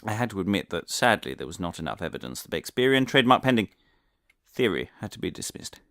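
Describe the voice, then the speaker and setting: A man's voice: lowish voice, big pitch swings, quick pace, a bit bright, no echo, studio quality. Man acting a pompous paranoid professor, swooping delivery. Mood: pompous, scholarly. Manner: earnest, stammering, pompous, theatrical. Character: deluded paranoid male professor, eccentric conspiracy theorist. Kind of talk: scripted comic audio drama monologue.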